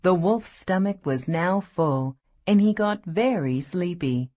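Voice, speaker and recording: A woman's voice: lowish voice, expressive, takes it slowly, mellow. A woman narrator reading a scripted fairy tale for children. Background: faint music.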